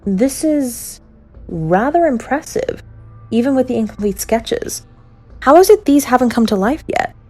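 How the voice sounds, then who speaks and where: A woman playing a formal, skeptical council member in a fantasy audio drama, measured and questioning. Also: on the high side, animated, a little mellow, soft background music. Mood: intrigued.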